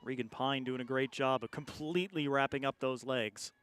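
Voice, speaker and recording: A man giving measured, conversational analysis as colour commentator on a live sports broadcast. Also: medium pitch, fairly even intonation, fairly bright tone.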